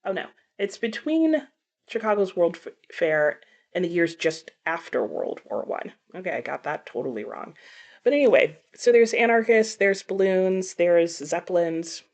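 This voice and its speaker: A woman's voice: on the low side, very animated, moderate pace, a little mellow. A middle-aged woman chatting casually to viewers about books, thinking aloud and correcting herself as she goes. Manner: musing, self-correcting, conversational. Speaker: book vlogger.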